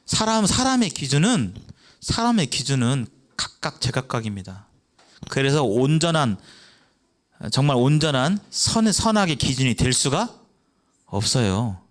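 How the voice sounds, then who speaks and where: A man's voice: big pitch swings, measured pace. Korean male preacher quizzing the congregation. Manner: asks the audience questions and answers them himself; acts out children's voices in a brief high voice. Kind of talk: evangelistic sermon.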